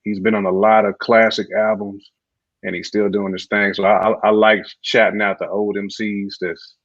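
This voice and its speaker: A man's voice: fairly low pitch, little pitch movement, moderate pace, fairly bright tone, no echo. An American man co-hosting a casual hip-hop podcast, talking informally with plenty of slang. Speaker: podcast co-host. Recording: dull, dry lo-fi audio.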